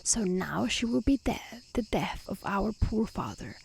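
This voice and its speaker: Lady softly reading as a bitchy envious storybook big sister, accusing, slow n breathy. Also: medium pitch, sing-song, heavily acted. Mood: spiteful. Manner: soft, accusing storybook delivery. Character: spiteful, envious elder sisters scolding.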